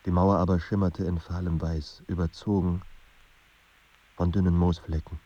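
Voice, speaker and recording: A man narrating a melancholy literary radio drama in a measured, sombre manner. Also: sits low, little pitch movement, warm tone, highs cut off.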